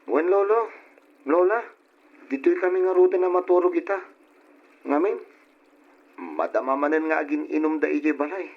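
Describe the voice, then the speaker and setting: A man's voice: fairly high pitch, fairly even intonation, normal speed, pauses often. A man playing a respectful, troubled young grandson in a radio drama, complaining earnestly about his home life. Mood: earnest, aggrieved. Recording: dull, lo-fi old radio recording.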